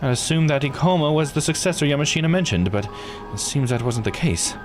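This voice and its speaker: A man's voice: fairly high pitch, lively intonation, quick pace, over music, pitched above the performer's own voice, natural voice. A man voicing a composed, formal investigator in a visual novel, speaking politely and precisely.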